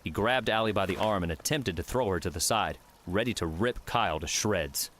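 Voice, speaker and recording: A man narrates a novel in a low voice. It is scripted storytelling, with faint noise in the recording. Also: a little fast, fairly bright tone. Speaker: audiobook narrator.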